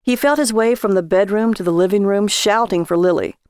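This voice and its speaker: A woman's voice: mid-range voice, fairly quick, darkish tone, clear, resonant tone, no echo, clean studio sound. A woman reading a short story as a scripted audiobook narrator.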